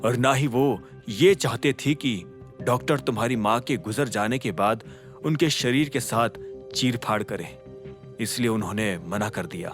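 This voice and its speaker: A man's voice: medium pitch, unhurried, voice pushed down, natural voice. Man playing a grave, confiding loyal associate. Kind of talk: audio drama dialogue. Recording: music under the voice.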